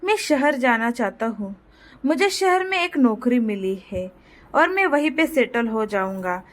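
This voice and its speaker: A woman's voice: fairly high pitch, animated, measured pace. A woman voicing a spoiled, selfish, impatient grandson in a cartoon moral story, brusque and demanding. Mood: determined.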